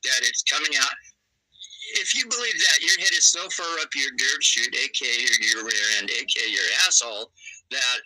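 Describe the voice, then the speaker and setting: A man's voice: medium pitch, moderate pace, bright, forceful tone, no echo, low-quality recording. A man from California arguing hard as a guest on a live panel over a video call, emphatic and swearing. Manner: angry, profane, emphatic. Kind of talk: heated live panel debate.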